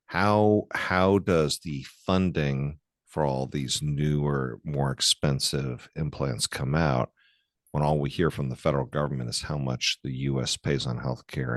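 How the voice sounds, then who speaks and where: Man, very deep voice, dry close sound; interviewer with long questions. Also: no echo. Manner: asks long, searching questions with restarts. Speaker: podcast host, surgeon interviewer. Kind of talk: conversational podcast interview.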